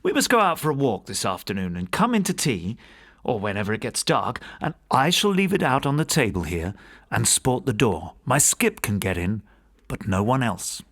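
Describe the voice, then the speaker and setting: Man voicing a well-spoken gentleman scholar, animated pitch. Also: moderate pace. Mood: confident, reasoning. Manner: polite, easy, well-spoken. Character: courteous, curious educated gentleman scholar, master to a servant. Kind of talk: audiobook character voice.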